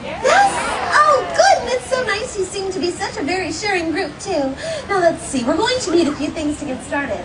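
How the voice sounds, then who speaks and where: A woman's voice: very high-pitched, very animated, normal speed. A young woman playing a sweet, gracious storybook heroine, telling a tale to children in a theatrical, gushing, encouraging way at a live show. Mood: gracious, cheerful. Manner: theatrical, gushing, encouraging, sing-song questioning. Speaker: theme park character storyteller. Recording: distant echoey live show, loud music and crowd. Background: loud music and audience of children.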